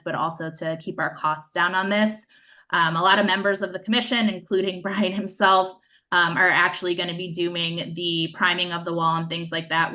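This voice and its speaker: A woman with a somewhat low but bright, forceful voice, giving a project update at a public meeting. She talks conversationally, dropping in frequent ums as she goes. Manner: conversational, many um fillers. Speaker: city staff presenter at a public meeting. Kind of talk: meeting presentation.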